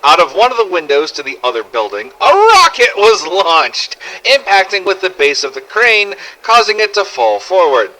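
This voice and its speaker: A man's voice: sits high, wildly swooping pitch, moderate pace, bright, forceful tone, poor audio. A man narrating a scripted first-person survival story in character, with a dramatic, gritty delivery and some swearing. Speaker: narrator of fan-made game audio logs.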